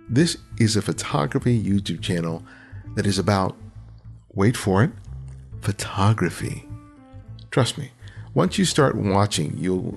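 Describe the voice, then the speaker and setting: Male podcast host, deep rough voice, animated, chatty delivery. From United States. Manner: chatty, hesitant restarts when asking questions. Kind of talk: interview podcast. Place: home studio, Southern California.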